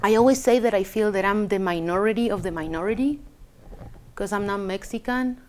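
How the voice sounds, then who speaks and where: A woman's voice: medium pitch, a little fast, soft background music. A woman from Chile, a native Spanish speaker with a Chilean Spanish accent, talking casually and reflectively about her own life, sometimes hesitating to search for English words. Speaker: interviewee telling a personal story. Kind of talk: casual reflective personal talk.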